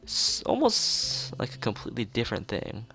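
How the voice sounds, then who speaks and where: A man's voice: mid-range voice, huge pitch swings, normal speed, gravelly, pushed and bright, faint music behind. A man reading a visual novel aloud in an informal let's play, stumbling over words and restarting phrases, with off-the-cuff asides.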